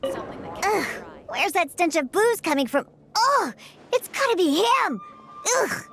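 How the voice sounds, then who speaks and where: A woman voicing a tiny, excitable, childlike female fairy companion in a video game. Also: very high-pitched, slow pace, full character voice. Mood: disgusted. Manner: speaks of herself in third person, bubbly. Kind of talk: video game dialogue acting.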